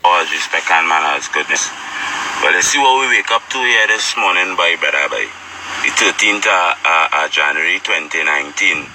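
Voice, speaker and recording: Trinidadian man, deep low voice, emphatic news talk, far from the mic, air-conditioner hum behind. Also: moderate pace. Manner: emphatic, repeats "right?" and "here". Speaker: online commentator. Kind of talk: casual news commentary video.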